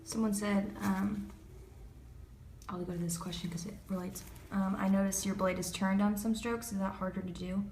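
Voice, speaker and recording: A woman's voice: mid-range voice, steady tone, normal speed, a little mellow. A woman assistant casually reading out viewer questions for a live demonstration, recorded in an echoey room. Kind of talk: casual live-stream moderation. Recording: echoey room with background noise.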